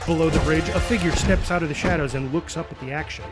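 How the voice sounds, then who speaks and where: A man's voice: a little high, fast talker. A man narrating scripted superhero action, reading stage directions in a dramatic manner. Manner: dramatic, spoken sound effects. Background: music.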